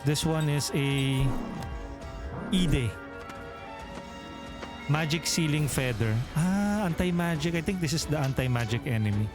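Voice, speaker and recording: A man's voice: a little high, animated, measured pace, long pauses, music in the background. A man from the Philippines with a Filipino accent, streaming a role-playing game and chatting with his viewers as he reads out menus. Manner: thinks aloud while reading menus, chatty with viewers. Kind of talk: live let's play commentary.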